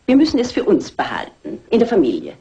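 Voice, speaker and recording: An actress playing an artless, indiscreet aunt in a crime radio play, chatty, naive and insinuating. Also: female voice, mid-range voice, huge pitch swings, quick pace, mellow, lo-fi sound. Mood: artless insinuation. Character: artless, indiscreet aunt blurting family secrets.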